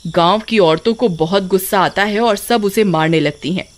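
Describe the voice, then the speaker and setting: A woman storyteller reading scripted narration for an animated tale. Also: mid-range voice, normal speed, slight background noise.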